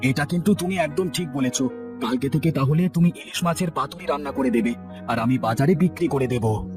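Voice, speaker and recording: A man's voice: on the high side, fairly quick, music in the background, lightly acted. A man playing an earnest, plain-spoken young street vendor and devoted son in a children's cartoon. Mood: excited.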